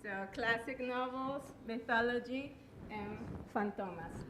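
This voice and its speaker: Nervous, slow-speaking woman in an echoey room. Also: fairly high pitch. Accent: Peruvian Spanish. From Peru. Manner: self-deprecating, hesitant, nervous. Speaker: guest speaker, writer. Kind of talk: personal talk to an assembly. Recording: echoey room, clear background noise.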